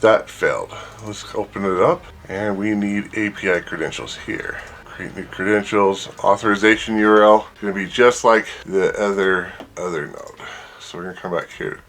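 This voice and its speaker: A man presenting an informal software tutorial, thinking aloud as he works through each step. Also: on the low side, normal speed, slight background noise. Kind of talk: casual screen-share walkthrough.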